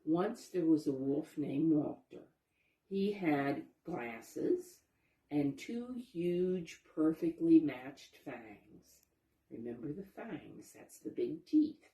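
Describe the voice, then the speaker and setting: A woman reading a children's picture book aloud, pausing to explain things and ask questions for young listeners. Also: deep voice, lively intonation, gravelly, mellow, muffled recording. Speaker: storybook reader, teacher-like narrator. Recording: roomy, echoey sound.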